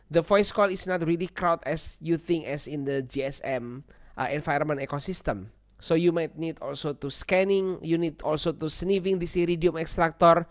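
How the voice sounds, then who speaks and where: Higher-voiced man giving a tech tutorial. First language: not English. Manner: repetitive, seeks agreement with "okay" and "right". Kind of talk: informal spoken software walkthrough.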